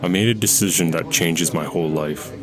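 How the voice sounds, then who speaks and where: Dude doing a sad lonely young guy at a bar, super deep, hesitant. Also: brisk, crowd noise, natural voice. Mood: subdued. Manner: hesitant, subdued. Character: lonely, guilt-ridden young man at a bar. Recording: audio drama with music underneath.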